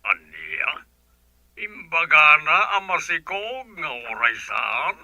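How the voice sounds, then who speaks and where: An older Filipino father questioning his family in a radio drama. He talks slowly and deliberately, with very sharp, forceful tone and lively swings in pitch. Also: gravelly, no room sound, exaggerated voice, poor audio. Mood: probing, stern. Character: older father, slow, probing family patriarch. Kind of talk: Ilocano radio drama acting.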